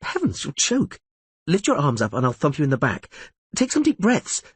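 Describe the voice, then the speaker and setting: A man's voice: fairly high pitch, huge pitch swings, normal speed, breathy edge, no echo, voice pushed up, heavily acted. Man voicing a raised, teasing sorceress. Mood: playful. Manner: teasing, commanding. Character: proud sorceress woman, quick-tongued, affectionate. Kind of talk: audiobook reading.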